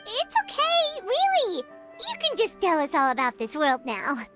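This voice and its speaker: A tiny, excitable companion in a video game, chirpy and easily bewildered. Her voice sits extremely high and bounces around, and she talks at an unhurried pace. Music plays clearly behind her. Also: heavily acted. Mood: thoughtful. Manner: chirpy and bewildered, talks about herself in third person. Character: small excitable floating girl companion.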